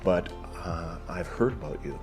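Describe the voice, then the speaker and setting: Deep-voiced host praying over music. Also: male voice, measured pace. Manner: earnest, hesitant with fillers. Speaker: host, interviewer. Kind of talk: opening prayer and interview.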